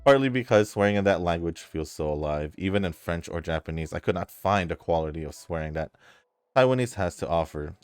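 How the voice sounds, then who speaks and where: Low-voiced laid-back man. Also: clear, resonant tone, no echo. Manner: laid-back, hesitant, trailing off with fillers. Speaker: gaming YouTuber. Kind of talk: casual commentary reading viewer comments. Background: faint background music.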